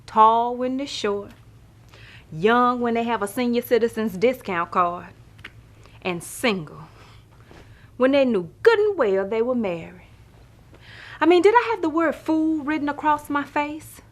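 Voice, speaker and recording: An actress playing a wry, love-struck woman who tells her life story in an expressive, sassy, conversational stage monologue. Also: a little high, unhurried, long pauses, pitched above the performer's own voice. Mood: wry, exasperated. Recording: music playing behind the voice.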